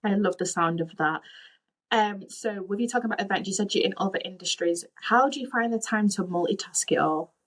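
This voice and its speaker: A woman's voice: mid-range voice, a little fast, poor audio. Affirming woman podcast host. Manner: affirming, encouraging reactions. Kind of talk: interviewing a guest.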